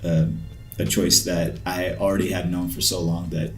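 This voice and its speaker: Low-voiced man in a roomy space. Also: level tone, fairly bright tone. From Pakistan. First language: Urdu. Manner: reflective, measured storytelling. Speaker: guest, basketball trainer. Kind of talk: casual interview conversation. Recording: roomy echo, faint music behind.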